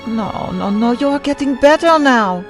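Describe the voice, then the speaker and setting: An actress playing a madman's devoted, long-suffering wife in a radio drama, deliberate and imploring. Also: on the high side, lively intonation, soft background music, lightly acted. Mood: tender reassurance. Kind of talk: old-time radio drama acting.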